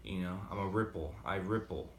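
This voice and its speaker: A man's voice: sits low, fairly quick, roomy sound, slight background noise. A man with a slight Boston accent talking casually and rambling with many fillers in a motivational vlog. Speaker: vlogger. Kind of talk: casual motivational talk to camera.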